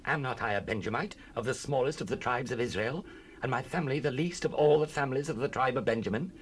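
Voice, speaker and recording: A man's voice: medium pitch, fast, lightly acted. An actor playing a humble, earnest young man in a dramatized scripture reading with formal archaic speech. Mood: humble, questioning. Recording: hissy 1970s cassette rip.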